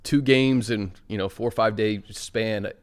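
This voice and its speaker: A man's voice: lowish voice, fairly even intonation, unhurried, smooth. An American man hosting a football show in a casual, conversational style. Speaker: sports show host.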